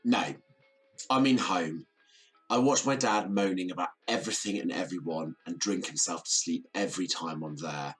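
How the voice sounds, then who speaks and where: Young British man, low husky voice, weary, resentful, reserved, radio play. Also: normal speed, soft background music. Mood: bitter. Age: young adult. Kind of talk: audio drama acting.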